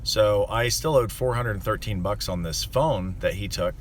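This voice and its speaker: A man's voice: on the low side, normal speed, slight background noise. A young man who runs a landscaping business, rambling with lots of fillers as he vents to the camera. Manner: rambling and aggrieved, many fillers. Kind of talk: casual monologue to camera.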